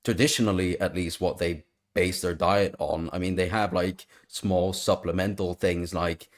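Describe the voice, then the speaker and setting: Low-voiced male co-host, rambling casual chat on a video call. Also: steady tone, moderate pace. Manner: rambling, many fillers.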